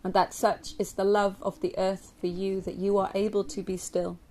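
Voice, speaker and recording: A woman from England leading a guided yoga nidra meditation for a group, speaking gently and slowly with long pauses. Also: medium pitch, low-quality recording. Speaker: yoga nidra teacher guiding a relaxation. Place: live group session at a camp. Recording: steady ambient noise, live recording.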